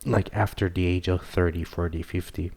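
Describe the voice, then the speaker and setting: A man whose first language is Farsi, chatting on a podcast in a reflective way, pausing often with "uh" as he thinks aloud. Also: deep voice, fairly even intonation, a little mellow. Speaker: podcast co-host. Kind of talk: casual podcast conversation.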